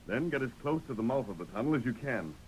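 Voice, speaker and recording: An actor playing a resolute masked cowboy hero with measured, formal, authoritative diction in a 1930s radio western. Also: male voice, big pitch swings, darkish tone, lightly acted. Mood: firm, persuasive. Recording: noisy, rumbly 1930s radio recording.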